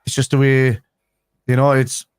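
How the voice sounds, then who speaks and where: A man's voice: mid-range voice, completely flat delivery, normal speed, smooth, no room sound. A man with a Liverpool accent, co-hosting a football fan live stream, talking casually and rambling with restarts a day after having a tooth pulled. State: speaking after a tooth extraction.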